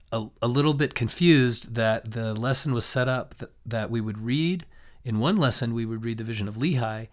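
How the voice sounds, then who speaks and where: A young man with a hoarse, raspy voice from a cold, teaching a recorded scripture lesson in a loose, thoughtful way with many restarts. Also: mid-range voice, a bit bright.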